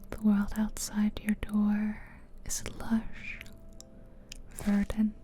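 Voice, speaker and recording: Very slow whispering woman, soft and breathy. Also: mid-range voice, monotone, slight background noise. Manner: slow, soothing, pausing between short phrases. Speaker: ASMR host and guide. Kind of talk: soft-spoken whispered ASMR guided visualization. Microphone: close stereo ASMR microphone. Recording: close ear-to-ear mic, music behind.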